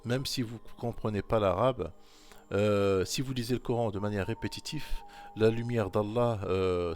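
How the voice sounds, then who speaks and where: A man giving informal commentary on scripture, repeating his points insistently and speaking directly to the listener. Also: on the low side. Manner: insistent, repetitive exhortation; talks straight to the listener. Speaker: religious commentator.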